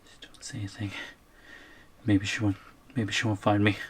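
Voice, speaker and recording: A man's voice: fairly low pitch, flat delivery, normal speed, slight background noise, lightly acted. A man playing a scared, weary captive on the run in an audio roleplay, hesitant and muttering. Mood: panicked, hiding. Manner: hesitant, muttering to himself, pleading.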